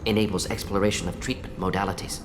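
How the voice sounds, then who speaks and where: A man as a clipped, low-voiced alien scientist. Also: fairly even intonation, fast, natural voice. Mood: clinical, brisk. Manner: clipped telegraphic phrases, clinical. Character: brisk, analytical male alien scientist, principled and clinical. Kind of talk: video game dialogue. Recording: long reverb, noisy background.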